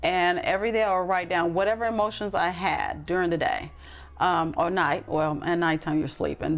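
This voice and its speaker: A woman talking earnestly and reflectively as a podcast guest, with frequent ums and restarts. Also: fairly low pitch. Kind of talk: casual interview talk.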